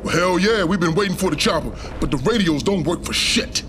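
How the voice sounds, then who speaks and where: An actor playing a boisterous, swaggering soldier in a game cutscene. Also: male voice, high-pitched, animated, fairly quick, noisy background. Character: loud, swaggering male soldier. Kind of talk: video game cutscene acting.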